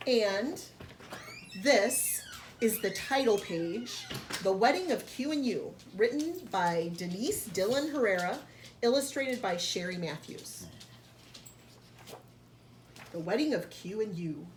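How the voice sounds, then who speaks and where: A woman reads a storybook to young children in the manner of a teacher, at a slow pace. She stops for short explanations and sounds out important words with care. Her voice has a medium pitch and rings in an echoey room, with faint noise behind it. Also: lively intonation. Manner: didactic, enunciates and sounds out key words for children. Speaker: teacher reading a picture book aloud to young children. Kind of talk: read-aloud storytelling with explanatory asides.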